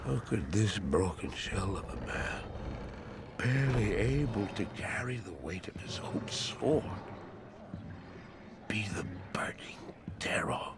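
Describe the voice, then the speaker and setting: An actor playing a haunted, guilt-ridden, half-mad man in a game cinematic, halting and fearful, repeating himself. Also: fairly low pitch, animated, very noisy. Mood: haunted, weary. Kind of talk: dramatic video game cinematic monologue. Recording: loud music behind the voice. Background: cinematic music.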